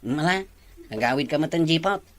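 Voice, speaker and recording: A woman's voice: sits low, expressive, brisk, dry recording. A woman playing a sneaky, bossy villager in an Ilocano comedy drama. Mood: furtive, bossy.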